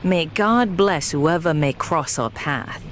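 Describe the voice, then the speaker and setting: A woman's voice: low-pitched, animated, slow. A woman playing an ominous, authoritative villain executive in an audio drama, with a commanding, menacing manner. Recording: clearly noisy background ambience.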